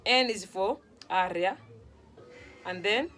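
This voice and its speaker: A young woman with a Kenyan accent and Luo as her first language talks casually to camera. She explains things in a repetitive, chatty way, with frequent little checks for understanding. Her pitch sits in the middle for a woman. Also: slow pace, fairly bright tone, faint music behind. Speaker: vlog host teaching phrases. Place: small room at home, on a couch.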